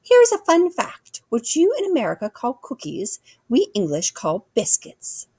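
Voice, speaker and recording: A woman's voice: on the high side, huge pitch swings, normal speed, darkish tone, slightly rough, no echo, voice pushed up, full character voice. A woman voicing a haughty, pedantic older English queen in a storybook, with a pompous, formal way of talking. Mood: gracious. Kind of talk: children's storybook character voice.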